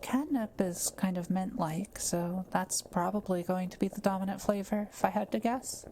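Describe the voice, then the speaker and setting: A woman playing a kind, quiet witch in an audio roleplay, speaking gently and carefully as she reassures and explains things to the listener. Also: mid-range voice, normal speed, a little hiss or hum, close to an everyday voice. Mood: thoughtful, focused. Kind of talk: soft-spoken roleplay audio, talking to the listener.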